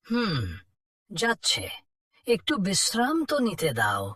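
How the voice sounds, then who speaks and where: A man's voice: high-pitched, wildly swooping pitch, slow, sharp tone, no echo, studio quality, far from the mic, full character voice. A man playing an easygoing village watchman and husband in a cartoon folk tale, speaking in a casual, reassuring way. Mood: mildly weary.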